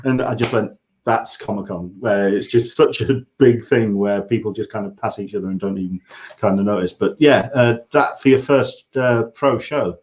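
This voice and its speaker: A man's voice: lowish voice, normal speed, darkish tone. Rambling English man hosting a chat. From England. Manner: rambling, anecdotal. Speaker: host interviewer. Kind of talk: casual livestream interview chat.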